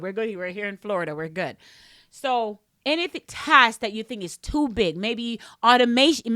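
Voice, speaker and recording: A woman's voice: a little high, a bit bright, no echo, clean studio sound. A woman with a strong Jamaican accent, a podcast host teaching business mindset in a casual, emphatic way, often repeating and restarting phrases.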